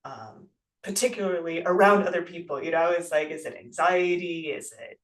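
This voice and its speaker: A guest who grew up in the United States, speaking in a thoughtful, halting way with many fillers and soft laughs. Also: mid-range voice, recorded from a distance, poor audio. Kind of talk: reflective casual interview talk.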